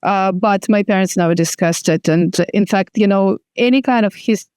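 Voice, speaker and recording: A middle-aged woman from Moscow with a Russian accent, a native Russian speaker, answering interview questions thoughtfully with hesitant pauses. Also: mid-range voice, darkish tone, clear, resonant tone, no echo. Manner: thoughtful, many fillers and restarts. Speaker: filmmaker guest.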